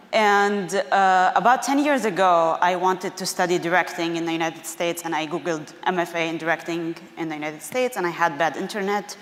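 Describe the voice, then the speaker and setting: A woman from Syria with a Syrian Arabic accent, a theater director and filmmaker telling personal stories in a chatty way, with many fillers. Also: on the low side. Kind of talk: personal storytelling talk. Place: museum auditorium. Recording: roomy echo of a large hall.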